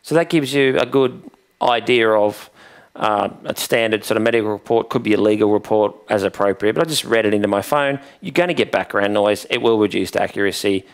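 A man in his forties with a creaky voice, giving a matter-of-fact, conversational instructional demonstration on a headset mic. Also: fairly low pitch. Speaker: presenter of a software tutorial.

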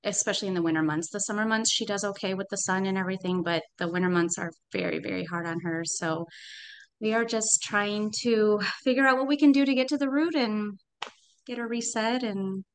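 Thirties woman, smooth resonant voice, earnest parent, video-call audio. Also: mid-range voice, a little fast, low-quality recording. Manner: earnest, thoughtful, trailing hedges. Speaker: parent in a program. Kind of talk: casual conversation. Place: home room.

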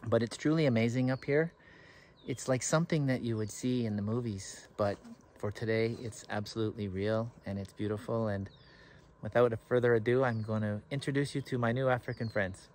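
A man's voice: fairly low pitch, a little mellow, slight background noise. A middle-aged man from Canada, chatting enthusiastically to a camera held at arm's length on a mountaintop. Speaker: travel vlogger. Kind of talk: casual travel vlog to camera. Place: outdoors on a forested mountaintop.

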